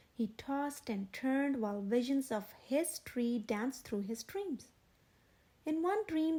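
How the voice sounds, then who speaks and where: A woman reading a children's picture book aloud in a gentle, expressive way. Her voice sits high and bright.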